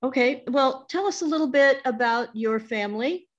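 A woman's voice: high voice, moderate pace, dull audio. A woman hosting a conversational interview, asking questions and chatting with her guest.

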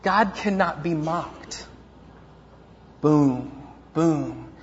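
A man's voice: a little high, extremely slow. A man preaching a sermon as pastor, rambling and self-deprecating, with many fillers and restarts. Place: church. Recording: dull lo-fi church recording with long reverb.

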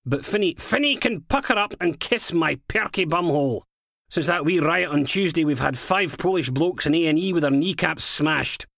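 Audiobook role: male reader as scornful Scottish female inspector, raised pitch, animated, cynical and sweary. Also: normal speed, vocal fry, no echo, studio quality, full character voice. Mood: scheming, needling. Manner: sweary, sardonic, uses Scottish slang. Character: brash, foul-mouthed, cynical female police inspector, scornful and impatient.

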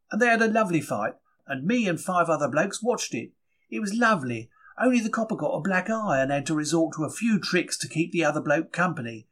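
A man voices a young working-class bookseller of the 1930s telling his diary. His voice is fairly high and rough-edged. He is chatty and plucky, wry and quick to take things to heart, with emphatic, colloquial phrasing. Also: fairly bright tone. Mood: gleeful, wry. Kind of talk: dramatic first-person diary reading.

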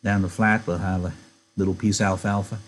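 Guy doing a worn-out ranch hand from a novel, low raspy voice, flat and quiet, grim but protective. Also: brisk. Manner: wooden, monotonous, subdued. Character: weary, gruff ranch hand, grimly protective friend. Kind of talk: dramatic novel reading.

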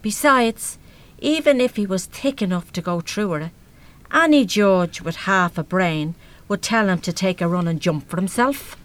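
An Irish actress playing a blunt, rough-spoken, protective older woman in a radio drama. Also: medium pitch, lively intonation, moderate pace, lightly acted. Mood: indignant. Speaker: radio play actor. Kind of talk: live radio drama acting.

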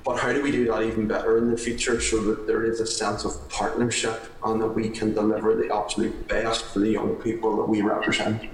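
A man, measured and deliberate, chairing a committee hearing. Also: lowish voice, little pitch movement. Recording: echoey room with faint noise.

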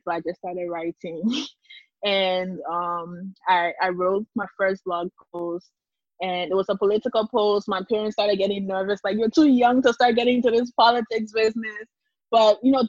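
A woman's voice: mid-range voice, darkish tone, no echo. Young woman, dull lo-fi sound. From Liberia. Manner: talkative, laughs easily. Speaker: interview guest, culture and fashion blogger. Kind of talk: conversational interview storytelling. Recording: dull, lo-fi dry audio.

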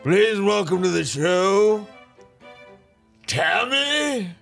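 A man's voice: high voice, sing-song, extremely slow, gravelly, fairly bright tone, soft background music, full character voice. A man playing a snobbish, pompous rich bachelor hosting a comedy dating show, with a haughty, affected upper-class delivery. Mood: grandly announcing. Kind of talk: improvised comedy sketch.

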